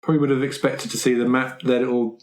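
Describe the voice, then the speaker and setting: A man with a medium-pitched voice improvises as a tabletop game player. His banter is casual, wry and playful. The room gives his voice an obvious echo. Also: level tone, quick pace. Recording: roomy, echoey tabletop recording.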